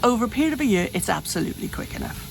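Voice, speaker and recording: Gravelly woman in her late fifties. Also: fairly high pitch, big pitch swings, very fast, background noise. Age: 50s. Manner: rambling, frequent restarts and self-corrections. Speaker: vlogger. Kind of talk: candid personal vlog. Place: home, hot room.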